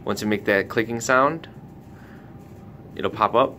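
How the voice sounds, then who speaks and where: A man's voice: unhurried, sharp tone. A man hosting a casual hands-on product tutorial, giving careful step-by-step instructions. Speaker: tutorial host for a retailer. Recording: noticeable background noise with low rumble.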